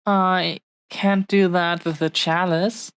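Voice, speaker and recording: A woman playing a tender, possessive dragon woman in love in a romantic audio roleplay, with a heartfelt, halting, earnest delivery. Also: fairly low pitch, level tone, smooth. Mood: adoring, possessive. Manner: heartfelt, halting, earnest pauses between short phrases. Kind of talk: romantic audio roleplay, intimate scripted monologue.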